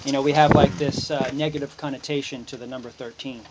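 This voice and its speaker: A man's voice: medium pitch, steady tone, quick pace. A young man hosting a podcast, chatting with his guest in an enthusiastic, friendly, slangy way. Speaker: podcast host. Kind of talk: casual interview chat. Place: outdoor covered porch at a farm nursery. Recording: dull lo-fi audio with noticeable hiss.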